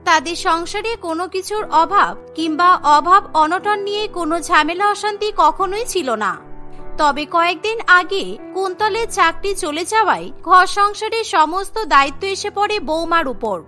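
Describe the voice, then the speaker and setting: A woman's voice: extremely high pitch, normal speed, pushed and bright, soft background music. A woman narrating a scripted cartoon story.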